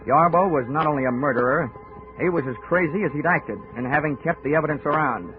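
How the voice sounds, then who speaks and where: A man's voice: a little high, brisk, close to an everyday voice. An actor playing a hard-boiled male insurance investigator, narrating with dry wisecracks and blunt tough-guy talk in 1940s radio acting. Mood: wry. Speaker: narrator and lead. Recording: tinny old radio broadcast with music.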